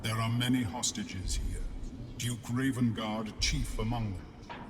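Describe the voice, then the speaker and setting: A man voicing a cold, formal telepathic creature in a video game, urgent yet clipped and measured. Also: fairly low pitch, fairly even intonation, over music, natural voice. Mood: cold urgency. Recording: long reverb, noisy ambience behind.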